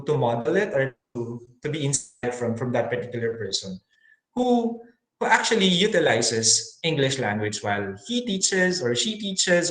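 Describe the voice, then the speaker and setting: A man giving an earnest webinar talk on teaching science in English, pausing often with fillers and restarts. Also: mid-range voice, fairly bright tone, roomy sound, low-quality recording. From Philippines. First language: Filipino.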